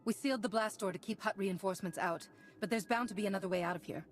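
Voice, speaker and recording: An actress playing a stern, commanding Jedi heroine in a video game, speaking with dry authority. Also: female voice, medium pitch, quick pace, close to an everyday voice. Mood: matter-of-fact. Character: stern, commanding young female Jedi hero. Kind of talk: video game cutscene acting.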